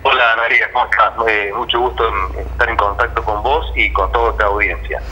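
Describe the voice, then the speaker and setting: Argentine male interview guest, lowish pitch, very forceful tone, explaining at length over a phone line. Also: steady tone, fairly quick, slight background noise. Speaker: interview guest, theatre director. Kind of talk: spontaneous explanatory interview talk.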